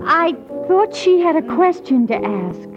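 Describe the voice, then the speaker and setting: A woman's voice: sits high, lively intonation, measured pace. A woman playing a catty, jealous admirer in an old-time radio drama. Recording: dull vintage radio, loud organ music.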